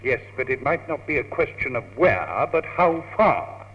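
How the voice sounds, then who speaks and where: An actor with a British accent playing a dry, worldly, teasing chap in an old radio comedy. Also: male voice, mid-range voice, very animated, unhurried. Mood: wry exasperated. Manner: wry, sardonic, urbane. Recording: dull, noisy old radio tape, clicks.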